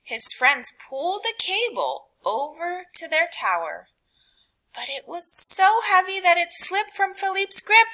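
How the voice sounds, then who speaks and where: Animated, bright-voiced woman reading a storybook aloud. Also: extremely high pitch, poor audio. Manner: dramatic, deliberate phrasing with hushed drops and emphatic rises. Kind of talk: expressive read-aloud narration for children.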